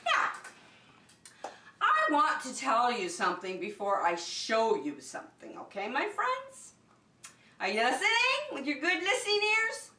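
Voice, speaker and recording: A woman's voice: high-pitched, huge pitch swings, unhurried, sharp tone, a little hiss or hum. A woman preschool teacher addressing young children directly in short, simple, encouraging phrases, recorded in a roomy space at a distance from the microphone. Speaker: preschool teacher hosting story and circle time. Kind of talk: children's lesson with singing.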